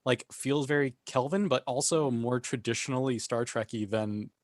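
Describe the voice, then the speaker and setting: A man's voice: medium pitch, moderate pace, no echo. A man co-hosting a podcast in a casual, conversational fan discussion. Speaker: podcast host.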